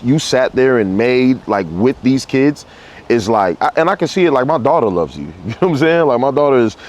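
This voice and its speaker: A man's voice: medium pitch, animated, brisk, darkish tone. A man, a parent and guest, talking casually and easygoingly with many affirming fillers. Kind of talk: casual conversation.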